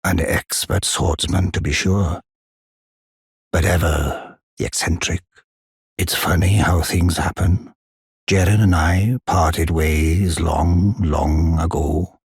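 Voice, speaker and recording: A man voicing a gentle, wise giant blacksmith and war counselor in a fantasy game, speaking in a courteous, solemn, formal way with a deep, husky, gravelly voice. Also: steady tone, slow pace, dry recording, studio quality, exaggerated voice. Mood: reflective, solemn. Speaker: video game character. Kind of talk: scripted fantasy game dialogue.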